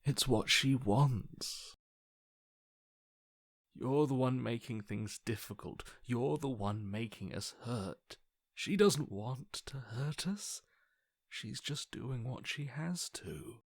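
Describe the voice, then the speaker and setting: A man acting a broken, submissive inner voice in a video game, slow, hushed and breathy, resigned and reverent. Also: medium pitch, expressive, dry recording, studio quality. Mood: despairing, pleading. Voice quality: hushed, breathy, half-whispered. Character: broken, submissive male inner voice, devoted and defeated.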